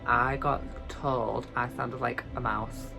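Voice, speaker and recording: A young woman from the UK with a light, high, girly voice, talking casually in a vlog, chatty and self-mocking, stretching words for emphasis. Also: fairly even intonation, slow pace, gravelly, music in the background. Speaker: vlogger.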